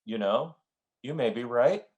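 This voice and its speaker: Guy doing a cynical radio sitcom husband, wry n grumbly, slow talker, pitch jumps around. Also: animated, darkish tone, highs cut off, close to an everyday voice. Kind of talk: old-time radio comedy acting.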